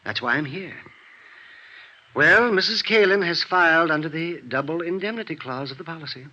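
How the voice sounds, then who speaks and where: A fussy, nervous man with a highish, tense voice in an old radio drama. He hesitates and stammers like a timid company functionary, on a dull recording. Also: lively intonation, normal speed, sharp tone, heavily acted. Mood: flustered, anxious. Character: flustered, timid male insurance company man. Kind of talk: 1950s American radio detective drama.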